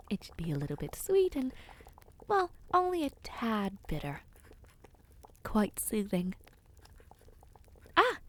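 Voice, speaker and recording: A woman voicing a kindly, whimsical witch in an audio roleplay, talking to the listener in a gentle, theatrical, chatty way with airy hums. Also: mid-range voice. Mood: playful, welcoming. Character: kindly, whimsical, ancient witch, flustered caring hostess.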